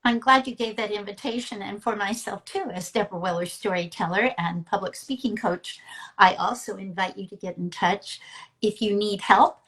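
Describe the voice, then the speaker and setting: A woman's voice: mid-range voice, moderate pace, fairly bright tone, rough recording. A woman hosting a live online interview in a conversational style, speaking as a storyteller and speaking coach.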